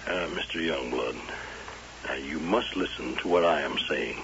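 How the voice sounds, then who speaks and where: An actor playing a stern, patient sheriff, probing deliberately in an old-time radio drama. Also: male voice, very deep voice. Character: stern, patient male sheriff questioning a killer. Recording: muffled, hissy vintage radio recording.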